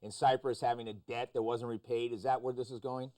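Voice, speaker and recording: A man hosts a discussion of the news in a mid-pitched voice. He talks in an interview style, probing with questions and often stepping in to clarify. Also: flat delivery, a little fast, warm tone. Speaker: talk show host.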